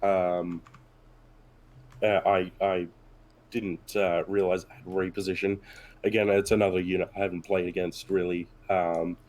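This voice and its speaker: A man's voice: deep voice, little pitch movement, unhurried, a little mellow. A man who is sick and losing his voice, talking hesitantly with many fillers in a post-game discussion of a tabletop match.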